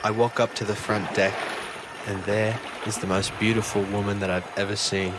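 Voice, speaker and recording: A man's voice: on the low side, level tone, moderate pace, very noisy, natural voice. A man playing an earnest, bewildered fisheries officer who narrates his own story in an audio drama. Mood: hushed wonder, recounting.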